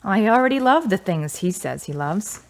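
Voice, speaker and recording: An actress plays a grieving daughter in a radio drama. Her voice is of medium pitch for a woman. She is guarded and blunt, with a dry, skeptical bite. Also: sing-song, normal speed. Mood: skeptical, defiant. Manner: wry, blunt.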